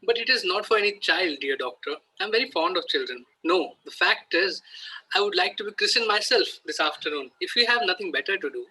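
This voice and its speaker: Guy reading a fussy victorian country gent, really bright forceful voice, kinda raspy, stage play. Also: sits high, lively intonation, brisk, full character voice, low-quality recording. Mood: offhand. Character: evasive, easily exasperated Victorian country gentleman. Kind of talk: amateur play table read.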